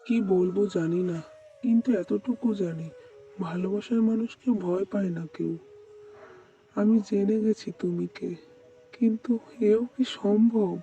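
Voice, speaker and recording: A woman's voice: medium pitch, a little slow, dark tone, far from the mic, lo-fi sound, lightly acted. A woman voicing an earnest, devoted young man in love in a melodramatic, soothing cartoon manner. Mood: resigned, loving.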